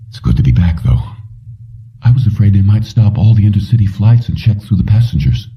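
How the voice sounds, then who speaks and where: A man voicing a determined, self-assured male fugitive in an audiobook, measured and decisive. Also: lowish voice, lively intonation, pitched above the performer's own voice. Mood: cautious. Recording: muffled, dull, distant-sounding.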